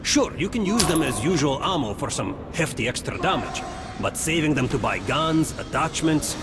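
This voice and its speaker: A friendly soldier quartermaster from a video game. His voice sits a little high, and he explains things in a chatty, genial way. Loud music plays behind. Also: sing-song, normal speed. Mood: genial, instructive. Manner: genial, instructive, salesman-like. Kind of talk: video game tutorial dialogue.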